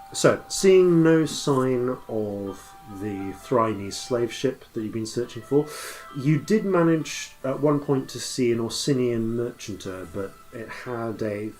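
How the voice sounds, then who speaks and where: A man narrating a tabletop game as game master, improvising in a halting way as he thinks aloud. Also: medium pitch, a little mellow, low-quality recording.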